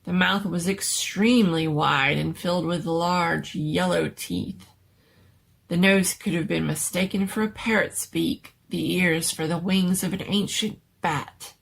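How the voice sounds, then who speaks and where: A woman's voice: fairly low pitch, steady tone, measured pace, gravelly, husky. A woman narrating a scary story as a dramatic reading, in a scripted storytelling style.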